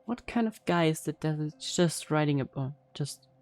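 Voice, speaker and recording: A woman's voice: deep voice, animated, normal speed, a little mellow. A woman giving casual, laid-back, wry commentary as she reacts to a video game story. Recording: game music behind.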